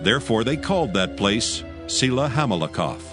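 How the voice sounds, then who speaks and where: A man giving scripted narration of dramatized scripture in a solemn, measured storytelling manner. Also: fairly low pitch, sing-song. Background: faint background music.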